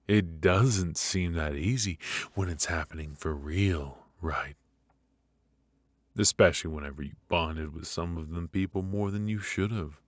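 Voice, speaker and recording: Man playing a very deep-voiced, menacing mafia boss. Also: no room sound. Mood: coldly menacing. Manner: slow, halting, mock-polite, softly taunting. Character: menacing, teasing male mafia boss, calmly threatening. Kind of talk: ASMR roleplay acting.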